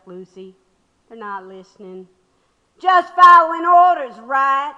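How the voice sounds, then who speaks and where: Feisty older lady radical, sarcastic and defiant, ringing bright voice, big reverb, radio drama. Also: a little high, muffled recording, exaggerated voice. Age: 60s. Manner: sarcastic, mocking, oratorical. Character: defiant, sharp-tongued 66-year-old woman activist under arrest. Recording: reverberant hall-like room.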